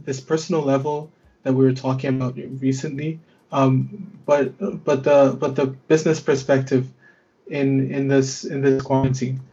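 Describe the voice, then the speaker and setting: A man's voice: mid-range voice, a little mellow. Young male podcast host, hesitant delivery with restarts, muffled lo-fi sound. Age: young adult. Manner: hesitant, many fillers and restarts. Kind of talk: hosting a student podcast conversation.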